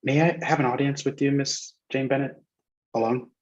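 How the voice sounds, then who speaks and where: On slightly dull, lo-fi audio, an actor reads a bashful young gentleman in a period drama. The voice is mid-pitched, courteous and hesitant. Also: steady tone, moderate pace, natural voice. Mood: polite, nervous. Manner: hesitant, polite. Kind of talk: staged reading of period drama.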